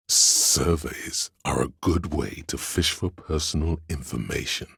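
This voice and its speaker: A male actor voicing a sly inner voice of drama in a video game, a scheming courtly servant with theatrical, archaic flourishes and a gravelly, husky delivery. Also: very deep voice, dry recording, clean studio sound, heavily acted. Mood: sly, appraising. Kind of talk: video game voice lines, addressing a liege.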